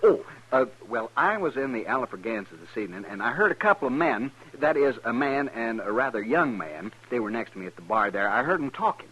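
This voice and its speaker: A polite, fussy small-town printer in an American radio western. The voice is bright and a bit rough, rising and falling freely. He talks in hesitant, apologetic, wordy turns. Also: medium pitch, sing-song, brisk, few pauses, low-quality recording. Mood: anxious. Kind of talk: 1950s radio western acting.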